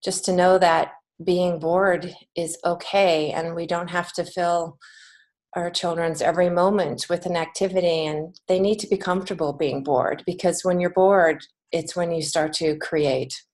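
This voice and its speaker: A woman with a fairly low voice talks as a guest in a podcast interview. She is earnest and reflective, putting emphasis on her points in a conversational way. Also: steady tone, measured pace, a little mellow. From United States. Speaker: podcast guest, children's author and creative writing teacher.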